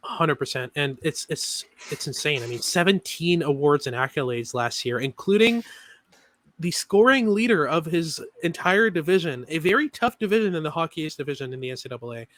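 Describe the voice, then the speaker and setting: A man co-hosting a sports podcast, talking through analysis in a quick, filler-heavy way with many restarts. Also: fairly high pitch, animated, fairly bright tone. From Canada. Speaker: podcast co-host.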